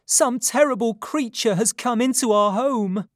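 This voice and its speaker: An anxious mother in a mythic storybook voiced by a narrator. Her voice is raised high and strong, urgent and full of feeling. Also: a little slow, no echo, studio quality, natural voice. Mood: alarmed. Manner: loud, emotional pleading. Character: anxious mother of heroic twins, urgent and pleading.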